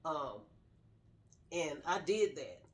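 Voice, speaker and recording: A woman's voice: deep voice, very animated, drawn-out words. A middle-aged woman sharing her personal story casually in an earnest, straightforward way. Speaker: guest telling personal story, wife of the host.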